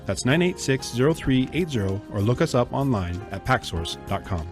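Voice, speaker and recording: A man reading a scripted radio ad with smooth promotional delivery. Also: mid-range voice, sing-song, moderate pace. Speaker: ad announcer. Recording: radio ad with music bed. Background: music.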